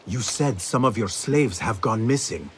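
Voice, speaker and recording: An actor playing a serious, principled assassin investigator in a video game, speaking directly and formally with restraint. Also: male voice, on the low side, expressive, unhurried, slight background noise. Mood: calm, inquiring. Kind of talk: dramatic video game cutscene.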